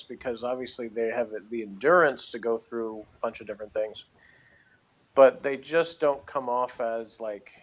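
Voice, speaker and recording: A man with a medium-pitched voice chats in an unscripted, informal way. He feels his way through his opinions hesitantly, wandering from one thought to the next as a guest on a casual TV review. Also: highs cut off. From outside Britain.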